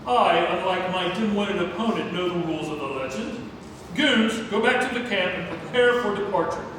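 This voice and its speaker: Man playing a high-pitched pompous rival explorer, echoey hall. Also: normal speed, close to an everyday voice. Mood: commanding. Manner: haughty, theatrical. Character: pompous, greedy rival explorer and treasure hunter. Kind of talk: stage play table read. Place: theatre room, recorded from far off. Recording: distant echoey hall with faint noise.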